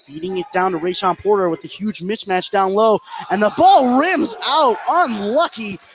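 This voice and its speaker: A man doing live radio play-by-play of a basketball game, getting excitable on big plays. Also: high voice, moderate pace. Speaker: play-by-play commentator.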